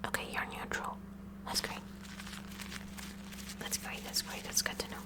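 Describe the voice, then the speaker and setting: ASMR roleplay: female performer as nerdy, kooky science club president, fully whispered, unhurried, haltingly curious and awkward. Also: lightly acted. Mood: awkward concern.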